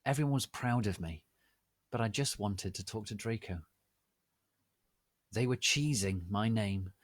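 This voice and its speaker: A man giving a deliberate, theatrical dramatic reading of fan fiction as narrator. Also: fairly low pitch, no echo, clean studio sound. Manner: deliberate, theatrical, reads misspellings exactly as written.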